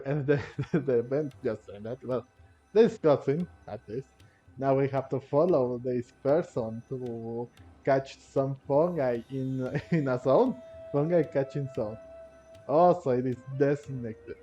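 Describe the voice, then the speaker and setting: A man's voice: medium pitch, takes it slowly, mellow. A man giving casual playthrough commentary on a game, with hesitant, repeating phrasing. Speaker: gaming video host. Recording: dull lo-fi microphone sound. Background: faint game music.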